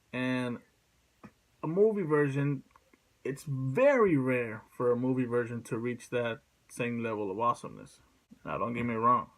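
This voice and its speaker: A man speaking casually as a video host, blunt and sweary, in a halting, rambling way. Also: medium pitch, low-quality recording. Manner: blunt, profane. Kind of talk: casual opinion vlog.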